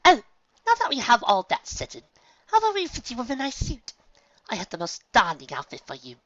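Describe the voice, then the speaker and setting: A woman putting on a posh, dramatic unicorn mare from a storybook, raised well above a plain speaking voice and swooping through wide, bright lines. She sounds refined and fussy, carefully polished in every phrase. The recording is dry and a little dull. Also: on the high side, wildly swooping pitch, fairly quick, no echo, voice pushed up, exaggerated voice. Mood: sweet. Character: elegant, dramatic grown unicorn mare seamstress.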